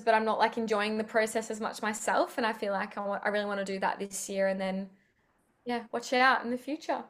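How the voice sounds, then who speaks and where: Young Aussie woman, slightly high voice, upbeat and rambling, heard over a video call. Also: a bit bright. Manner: chatty, upbeat, many fillers. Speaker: singer-songwriter guest. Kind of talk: casual interview chat.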